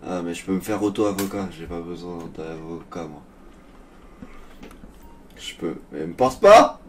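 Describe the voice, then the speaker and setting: A man's voice: low voice, expressive, measured pace. A young man in casual, improvised game role-play, talking in a cheeky, slangy way on a gaming microphone. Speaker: gamer role-playing as an arrested suspect. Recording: noisy gaming voice chat.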